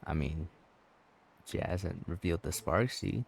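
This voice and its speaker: A man casually quipping loudly as he plays a story game. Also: low-pitched, a little slow, vocal fry, slight background noise. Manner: loud, joking quips over the game. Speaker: streamer reacting. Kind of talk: casual gameplay commentary.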